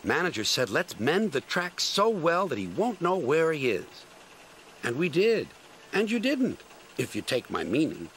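A man's voice: fairly high pitch, huge pitch swings, unhurried, fairly bright tone, slight background noise, pitched above the performer's own voice, heavily acted. A man voicing a hard-working, earnest little diesel engine in a children's story, speaking plainly. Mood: pleased.

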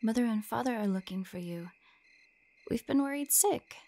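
A woman's voice: a little high, lively intonation, moderate pace, mellow. A woman voicing a caring older sister in an audio roleplay, calling out and affectionately chiding a little one. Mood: fondly scolding. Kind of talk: scripted audio roleplay acting.

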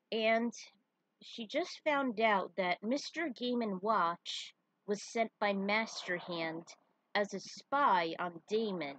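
A woman narrating her own fan fiction as a storyteller, with casual asides and a husky voice. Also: medium pitch, fairly bright tone, no echo, rough recording.

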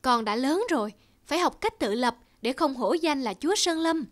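A woman voicing a gentle mother lioness in an animated fable, motherly and instructive. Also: high-pitched, normal speed. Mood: gentle instructing.

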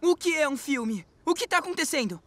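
An innocent, excitable little boy vehicle from a cartoon. The voice is high and childlike, over clear music. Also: fairly quick. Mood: confused. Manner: innocent, confused.

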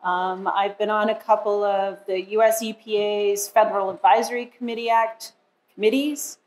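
A middle-aged woman introducing herself at a seminar podium in a friendly, loud voice. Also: mid-range voice, steady tone, normal speed, rough recording. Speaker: seminar presenter. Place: university lecture hall. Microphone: podium microphone.

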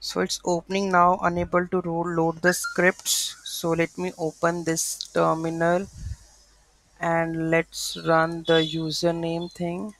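A man presenting a software tutorial, thinking aloud haltingly as he walks through code on screen. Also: on the high side, completely flat delivery, dry recording, rough recording. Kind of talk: unscripted screen-share coding walkthrough. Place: voice-over to a screen recording.

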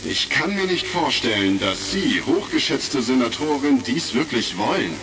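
A man's voice: mid-range voice, expressive, unhurried. A man playing a formal, courteous senate chairman in a retro sci-fi audio drama. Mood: concerned. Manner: formal, ceremonious. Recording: crackly vintage vinyl-style recording, distant.

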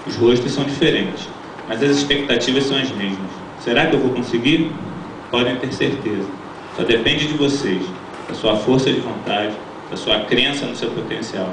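A middle-aged man, a teacher, reads an earnest graduation speech from a lectern, his voice at a middle pitch. He is heard from far back in a large, echoing auditorium. Constant crowd ambience and noise sit under his words. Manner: earnest, measured, reads from notes. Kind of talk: formal read-out ceremonial speech. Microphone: stand mic at lectern, filmed from the audience. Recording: echoey auditorium, from far off, noisy.

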